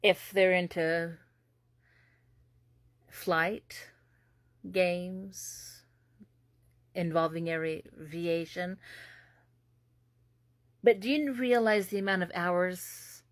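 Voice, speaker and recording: A woman from the United States speaks in slow, drawn-out phrases, heavy with sarcasm and disbelief. Her voice sits in a middle register. The recording is dry and close. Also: on the low side, fairly even intonation, dry recording. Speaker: commentary host. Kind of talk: political commentary.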